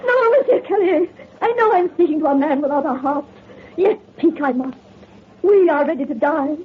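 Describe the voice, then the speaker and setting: A woman's voice: high-pitched, lively intonation, normal speed. An actress playing a proud French countess and devoted mother in an old radio melodrama, speaking in a formal, emotive way. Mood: anguished pleading. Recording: tinny, hissy vintage radio recording.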